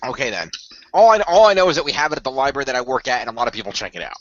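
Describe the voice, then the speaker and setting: A man's voice: mid-range voice, very animated, racing, a bit bright, dull audio. A man co-hosting a podcast, chatting casually as he goes through the news. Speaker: podcast co-host.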